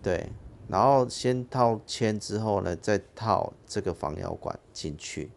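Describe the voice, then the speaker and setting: A man's voice: on the low side, unhurried, gravelly. A man hosting a fishing channel, explaining his rig step by step in a plain casual way outdoors at a harbour. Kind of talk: casual how-to narration.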